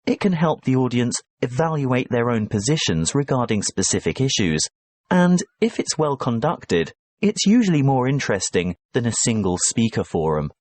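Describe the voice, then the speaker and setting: A man voices a professor explaining things to his students in a brisk, assured way. The pitch moves a lot, and the sound is dry and close. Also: big pitch swings, a little mellow, no room sound. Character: male professor guiding students, calm and brisk. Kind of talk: scripted exam dialogue.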